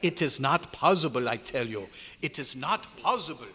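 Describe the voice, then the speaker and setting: Man acting an excitable German doctor, swooping voice. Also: mid-range voice, normal speed. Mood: insistent. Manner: dramatic, emphatic, formal diction. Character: excitable German doctor, authoritative male research scientist. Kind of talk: old-time radio horror acting. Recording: live hotel meeting room, long echo.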